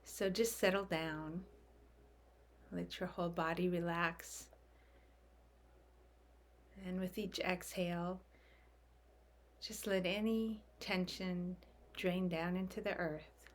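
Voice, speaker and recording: A woman leading a guided meditation in a gentle, soft, unhurried way, leaving long calming pauses. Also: lowish voice.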